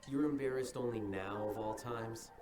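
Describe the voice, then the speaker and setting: A man voicing a coolly logical, dryly blunt young scholar in an anime game, measured and formal in delivery. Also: medium pitch, over music, lightly acted.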